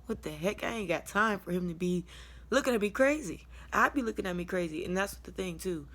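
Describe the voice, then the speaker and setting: A young American woman with a low, deep voice. She talks casually and candidly, as if telling friends a personal story on her vlog. Her delivery is colloquial and loosely rambling. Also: lively intonation, fairly quick, fairly bright tone. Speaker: vlogger sharing a personal testimony. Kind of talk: casual confessional storytelling to camera.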